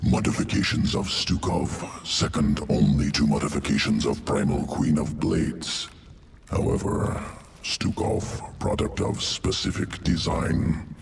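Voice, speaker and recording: Alien gene-crafter, game monster, guttural, slow, telegraphic phrasing, detached, roomy echo, far-off with faint noise. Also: male voice, very deep voice, lively intonation, exaggerated voice. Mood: detached, brooding. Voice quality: guttural, gravelly. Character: cold alien insectoid geneticist creature, obsessed with evolution. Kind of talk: video game character acting. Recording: roomy echo, distant, noisy game ambience.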